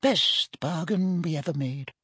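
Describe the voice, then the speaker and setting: Man voicing a smug little old shopkeeper, pitched up. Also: unhurried, fairly bright tone, breathy edge, no room sound, studio quality. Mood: smug, sly. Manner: chatty, offhand, self-satisfied. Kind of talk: audiobook character voice.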